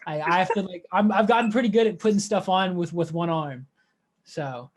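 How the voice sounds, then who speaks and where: A young man interviewing in a casual, halting, informal way, peppering his talk with "like" and "dude". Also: sits high. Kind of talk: casual chat.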